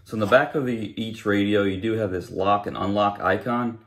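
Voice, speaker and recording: A young man with a low-pitched voice talks through a product review. His delivery is casual and unscripted, explaining each point in plain words. He is recorded in a living room, a short distance from the camera's microphone. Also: a little fast, a bit bright. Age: young adult.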